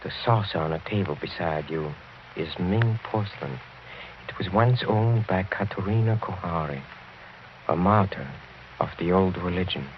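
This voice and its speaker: A dull, hissy old radio recording carries a man acting as a sinister older count. His voice is deep and deliberate, with polished courtesy laid over menace. He speaks as a decadent nobleman in a horror play. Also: lightly acted. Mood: darkly fervent. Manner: suave, chuckling, deliberate menace with courteous hesitations.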